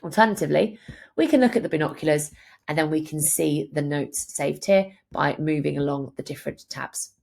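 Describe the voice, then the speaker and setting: A woman presenting a software tutorial, explaining each step in a clear, instructional way. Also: deep voice, brisk. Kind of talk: screen-share tutorial narration, instructional.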